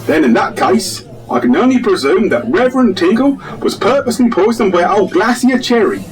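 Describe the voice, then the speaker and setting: A man acts an officious village policeman in a comic radio drama. He speaks formally in a high, very animated male voice, with faint music behind. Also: moderate pace, low-quality recording. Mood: stern, official.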